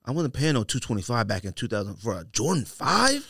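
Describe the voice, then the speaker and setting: An American man talks like a podcast co-host in loose, slangy banter. His pitch moves around a lot as he pushes his opinions emphatically. The studio sound is close and dry. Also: huge pitch swings, fairly quick, vocal fry, bright, forceful tone, breathy edge, no room sound. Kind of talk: casual sneaker podcast banter.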